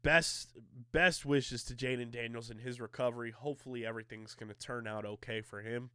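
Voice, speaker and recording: A man's voice: mid-range voice, steady tone, brisk, pushed and bright, clean tone, dry recording. A male podcast host from the area, sounding a little nasal from allergies, talking sports in an emphatic, hyped-up way.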